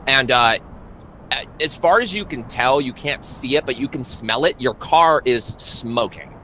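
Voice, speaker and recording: A man narrating a tabletop roleplay as game master, describing scenes in the second person in a halting way. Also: medium pitch, lively intonation, bright, forceful tone, a little hiss or hum, poor audio.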